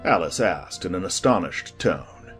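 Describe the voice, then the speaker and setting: A woman's voice: a bass voice, lively intonation, unhurried, soft background music. A woman narrator reading a scripted children's storybook as part of a dramatized audiobook.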